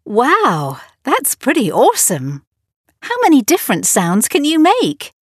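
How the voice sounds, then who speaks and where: A woman playing a cheerful host of a children's internet radio show, asking clearly enunciated, upbeat questions in a scripted textbook dialogue. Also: high voice, huge pitch swings, measured pace, no room sound, studio quality, full character voice.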